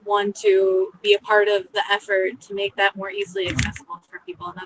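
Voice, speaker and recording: A woman's voice: medium pitch, animated, fairly quick, a bit bright. A young American woman informally introducing herself and her volunteer work to a group. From United States. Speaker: community volunteer, medical graduate. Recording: clearly noisy background.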